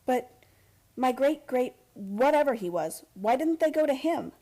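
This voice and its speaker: An actress playing an earnest, disbelieving young heiress in a radio play, halting and insistent in her questions. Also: high voice, animated, mellow. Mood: anxious questioning.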